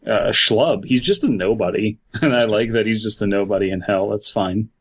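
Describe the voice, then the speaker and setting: Low-voiced man, slightly dull podcast audio. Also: normal speed. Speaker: podcast co-host. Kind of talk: casual chat, reviewing a story.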